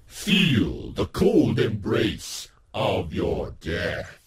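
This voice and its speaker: Man putting on a slow, menacing possessed death knight. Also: huge pitch swings, a loud crowd, heavily acted. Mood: cold menace. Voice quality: haunted, creepy. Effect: demo takes, some pitch-shifted, phased or echoed.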